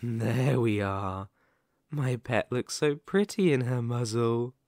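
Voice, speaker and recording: A man voicing a sadistic, possessive werewolf captor in an ASMR roleplay, speaking in a deliberate, condescending, threatening way. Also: medium pitch, darkish tone, no room sound, studio quality. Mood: smug, controlling.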